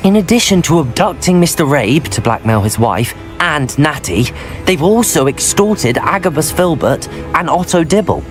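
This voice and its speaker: An earnest young wizard student voiced by a man for a video game. He speaks politely and properly, with a very mobile melody. Music plays clearly behind him. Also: a little high, lively intonation, moderate pace, natural voice. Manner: earnest, well-spoken. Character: brave, polite young male wizard student, calm and curious.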